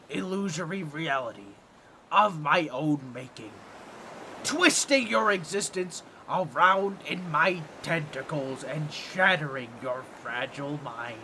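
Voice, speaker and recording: Squawky nasal octopus merman for comedy roleplay, piercing bright voice, slow drawn-out boasting, kinda awkward. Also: on the high side, slight background noise, full character voice, poor audio. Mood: grandiose menace. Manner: theatrical, pompous, fumbling with awkward asides. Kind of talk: comedic audio roleplay addressed to listener.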